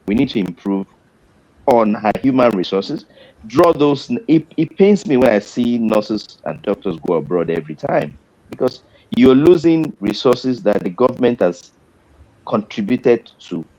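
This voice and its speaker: A middle-aged Nigerian man, a doctor, speaking deliberately on a panel, with pauses and restarts. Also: medium pitch, lively intonation, warm tone, lo-fi sound. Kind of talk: measured panel discussion.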